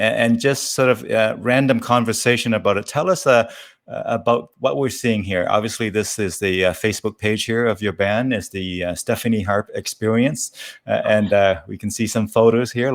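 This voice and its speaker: A man hosting a casual interview, talking in a halting, explanatory way and often checking in with a questioning tag. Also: lowish voice, fairly even intonation. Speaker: interview show host.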